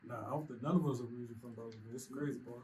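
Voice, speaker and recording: A man's voice: mid-range voice, fairly even intonation, racing, warm tone, slight background noise. A man from Kentucky chatting casually in an interview, easygoing and loose, often asking "you know what I'm saying".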